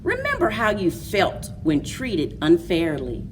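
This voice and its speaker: A woman hosting a children's story time, speaking in a deliberate, expressive read-aloud manner for young listeners. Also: lowish voice, far from the mic, a little hiss or hum. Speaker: library story time host.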